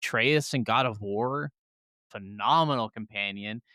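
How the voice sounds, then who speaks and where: A man's voice: on the low side, a little slow, bright, forceful tone, no room sound. A man co-hosting a casual gaming podcast, agreeable and enthusiastic as he talks. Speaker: podcast co-host.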